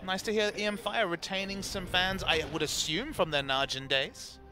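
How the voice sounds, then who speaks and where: A man commentating on esports in casual banter, making wry, deadpan jokes. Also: high voice, normal speed, music in the background.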